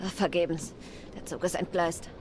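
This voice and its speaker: A woman's voice: lowish voice, normal speed, a little mellow, slight background noise, lightly acted. An actress playing a tough, defiant, embattled young woman fighter in a dramatic audio drama. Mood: bitter, weary. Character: Jill, tough embattled young female fighter against monsters.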